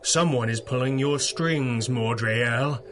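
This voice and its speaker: An actor playing a stern, analytical masked superhero, speaking gravely in the style of a 1930s radio serial. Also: male voice, medium pitch, takes it slowly, noisy background, lightly acted. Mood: commanding. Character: stern, commanding masked superhero crime-fighter, calmly analytical.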